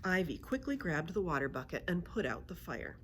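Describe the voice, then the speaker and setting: A woman reading a children's book aloud as a library storyteller, in an expressive storytelling manner. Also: fairly low pitch, brisk, a little hiss or hum.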